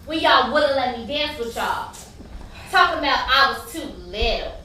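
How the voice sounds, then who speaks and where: A woman's voice: sits high, moderate pace, bright, forceful tone. A woman acting in a stage play as an indignant, pouting younger friend who protests in exclamations. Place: stage in a large roomy venue. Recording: echoey stage, distant mic, faint noise.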